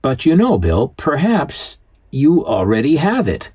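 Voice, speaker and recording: A man's voice: medium pitch, wildly swooping pitch, unhurried, a little mellow, husky. A man hosting and co-narrating in a scripted but conversational documentary style. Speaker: host and co-narrator.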